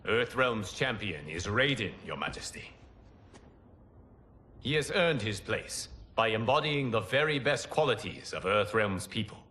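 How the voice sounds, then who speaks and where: A man's voice: fairly low pitch, animated, unhurried, sharp tone, a little hiss or hum. A man playing a wise, benevolent lord and mentor in a cinematic game, gracious, formal and reassuring. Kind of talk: cinematic video game acting.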